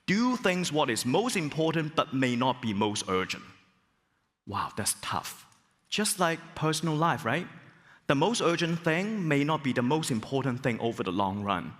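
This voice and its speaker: Animated middle-aged man lecturing in a hall. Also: on the high side, normal speed. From Hong Kong. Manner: animated, rhetorical questions and exclamations. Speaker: business school professor. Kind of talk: conference keynote talk. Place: large conference hall. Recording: long hall reverb.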